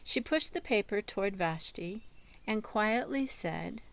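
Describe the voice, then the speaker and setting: A woman reads a children's story aloud at a slow, deliberate pace. Her voice sits at a middle pitch, and she tells it as a storyteller would to young listeners. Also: animated, vocal fry. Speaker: storybook reader.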